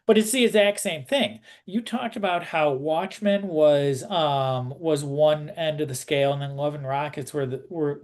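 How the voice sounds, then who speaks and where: A man interviewing someone casually, with a halting, informal and roundabout way of putting things. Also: a little high.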